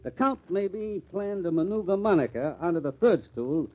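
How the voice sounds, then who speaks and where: An actor playing a brisk, suspicious police detective in a 1950s radio mystery. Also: male voice, high-pitched, expressive. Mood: reasoning. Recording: muffled old radio recording.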